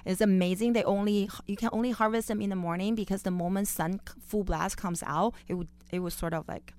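Female interview guest, Chinese accent, native Chinese speaker. Crisp voice, dry sound, animated conversational storytelling. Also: mid-range voice, brisk, dry recording. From Beijing, China. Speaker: guest, chef.

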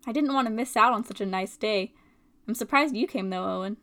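A woman's voice: mid-range voice, a little fast, bright, forceful tone. A woman acting a chatty, prying female college student in a dramatic reading. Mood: teasing. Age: young adult. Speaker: actor and stage-direction reader.

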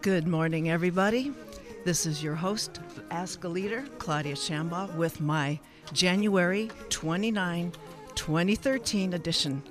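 Halting woman radio host. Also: on the low side, music in the background. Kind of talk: live radio interview hosting.

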